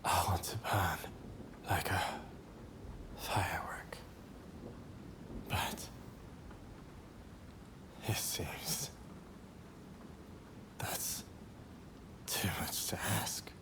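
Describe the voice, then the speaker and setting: An actor playing a gravely ill young samurai, wistful and courteous, with a weak, breathy, hushed voice in a video game drama. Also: male voice, sits low, drawn-out words, pauses often, in a whisper, poor audio. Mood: wistful, frail.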